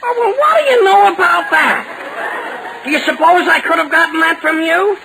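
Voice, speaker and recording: A man's voice: very high voice, animated, normal speed, vocal fry, over a noisy crowd, exaggerated voice. A man acting an eager, awkward, over-polite teenage boy in a 1940s radio comedy. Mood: sheepish, flustered. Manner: earnest, over-polite, evasive. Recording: noisy vintage 1940 radio broadcast.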